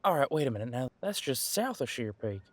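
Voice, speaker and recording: A man playing a centuries-old, easygoing detective in an audio roleplay, talking casually and folksily as he muses aloud with pauses. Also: mid-range voice, big pitch swings, no echo. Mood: musing. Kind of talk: detective roleplay audio, one side of a conversation.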